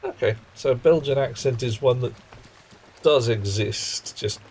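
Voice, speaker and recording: A man's voice: mid-range voice, unhurried, darkish tone, a little hiss or hum. A man streaming a game live, chatting wryly with viewers in a dry, muttering way. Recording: game stream with background music.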